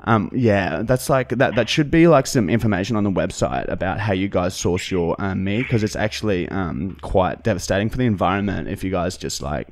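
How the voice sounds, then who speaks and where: Man putting on a halting, preachy vegan Australian, low-pitched. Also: expressive. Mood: preachy, earnest. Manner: halting, rambling, peppered with "like". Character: hesitant, preachy, self-righteous vegan pizza customer, easily sidetracked. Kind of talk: prank phone call put on for a comedy podcast. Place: indoor podcast studio. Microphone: close studio microphone.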